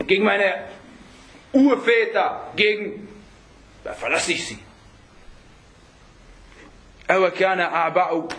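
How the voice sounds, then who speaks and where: A man's voice: sits high, fairly bright tone, slight background noise. A man preaching in an informal religious lesson, conversational and full of rhetorical check-backs to his listeners, with Arabic phrases mixed in. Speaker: Islamic preacher teaching a group.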